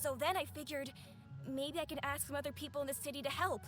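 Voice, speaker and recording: A woman's voice: high voice, steady tone, fast, fairly bright tone. A woman voicing an earnest, worried little boy in a video game. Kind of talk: scripted video game dialogue. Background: game music.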